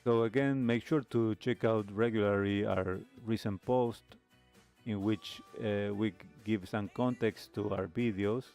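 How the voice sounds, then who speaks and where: A man hosting a live stream radio show, speaking reflectively in a measured, halting way with pauses. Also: fairly low pitch, fairly even intonation, a little mellow. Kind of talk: reflective host talk, signing off a live stream. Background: music playing under the voice.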